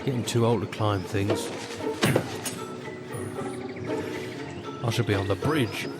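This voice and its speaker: A man's voice: fairly low pitch, brisk, close to an everyday voice. A man playing a cocky, sarcastic, grumbling space rogue in a comedy audio drama, boastful and sardonic. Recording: voice under loud music and effects.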